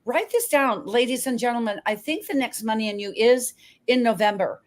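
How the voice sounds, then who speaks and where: A woman's voice: on the high side, animated, moderate pace. A woman hosting an online talk show, speaking effusively and gushing with praise, often restarting her sentences. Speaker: show host. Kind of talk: casual interview chat.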